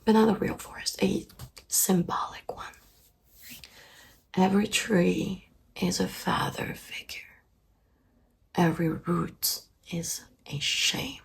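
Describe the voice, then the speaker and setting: A soft-spoken female therapist, played by a woman in an ASMR meditation parody. She speaks very slowly and soothingly, often in a breathy whisper with a creaky edge. Her delivery stays calm and deadpan. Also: fairly low pitch. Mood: calm, hypnotic. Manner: slow, soothing, hushed, deadpan. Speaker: ASMR performer.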